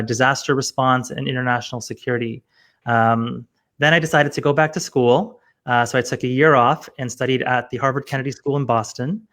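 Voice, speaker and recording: A man's voice: mid-range voice, fairly bright tone. A middle-aged man from Canada with a mid-range voice tells his story earnestly, now and then with a light joke. He talks over a video call in easy interview fashion. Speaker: mediator, interview guest. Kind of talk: casual interview answers.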